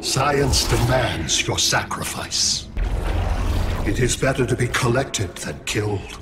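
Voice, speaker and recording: A man's voice: mid-range voice, lively intonation, takes it slowly. A man playing a cold, arrogant alien android villain in a video game, detached, precise and imperious. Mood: clinical disdain. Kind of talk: video game character acting. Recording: music under the voice.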